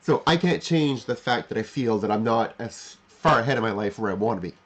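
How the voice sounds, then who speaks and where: A man's voice: mid-range voice, expressive, brisk, fairly bright tone, husky. A man in his forties hosting a livestream, talking off the cuff and reflectively, often repeating and rephrasing himself with emphasis. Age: 40s. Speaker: livestream host. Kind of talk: unscripted reflective monologue to live chat.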